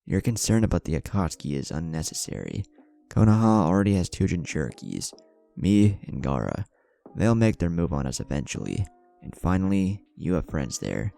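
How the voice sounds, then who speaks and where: A man's voice: deep voice, sing-song, moderate pace, gravelly, warm tone, faint music behind, heavily acted. A man voicing a loyal, protective and hot-tempered young male ninja in a story, casual and emphatic. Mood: confident explaining.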